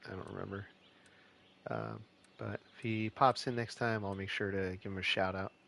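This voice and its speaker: A man's voice: sits low, moderate pace, gravelly. A man streaming a city-building game, chatting casually with lots of fillers and restarts, his voice a bit nasal and stuffed-up. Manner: relaxed, rambling, many fillers and restarts. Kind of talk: casual live-stream commentary.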